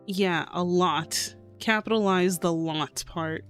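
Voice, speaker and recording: A woman's voice: on the low side, takes it slowly. A woman streamer casually reacting to a video game, chatty and giggly. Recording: game music behind.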